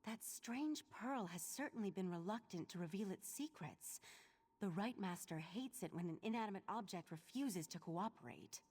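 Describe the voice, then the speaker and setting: An actress playing a matter-of-fact archivist of a mage order in a video game, conversational and dryly wry. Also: female voice, medium pitch, animated, moderate pace, a little mellow, slightly rough. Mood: wry, businesslike. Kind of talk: video game character acting.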